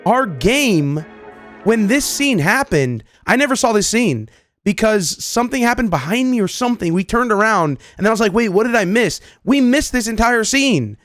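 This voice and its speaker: A man giving casual, excitable reaction commentary as a gaming video host, with slangy, repetitive chat. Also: high-pitched, moderate pace, dry recording. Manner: chatty, excitable, slangy, repeats himself. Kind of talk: casual reaction commentary to game images and clips. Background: background music.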